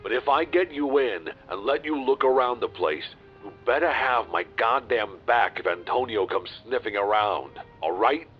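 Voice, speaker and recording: An actor playing a wary, exasperated gangster heard over speakerphone in an audio roleplay, weary and irritated. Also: male voice, medium pitch, lively intonation, moderate pace, few pauses, soft background music. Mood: grudging. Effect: speakerphone filter. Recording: muffled, husky speakerphone sound.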